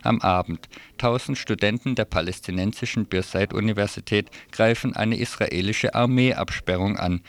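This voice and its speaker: Guy reading a radio press review, lowish voice, bit wry and informal. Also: moderate pace, few pauses. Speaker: radio news presenter.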